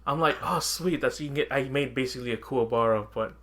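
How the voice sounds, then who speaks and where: A man podcast host chatting casually about video games and anime, animated and swearing freely, often acting out his own reactions. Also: mid-range voice, fast. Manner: animated, profane, frequently quoting himself.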